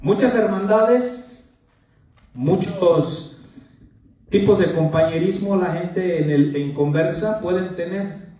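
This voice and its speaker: High-voiced pastor in echoey room. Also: male voice, slow pace, dark tone. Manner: earnest, keeps calling listeners "brother"; emphatic, lists and repeats phrases. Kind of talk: sermon. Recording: very echoey room, mic far off.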